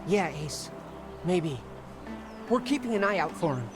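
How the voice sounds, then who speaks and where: An actor playing an earnest, idealistic young Spartan brother, loyal and expressive, in a video game drama. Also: male voice, on the high side, unhurried, loud music behind the voice. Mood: kindly.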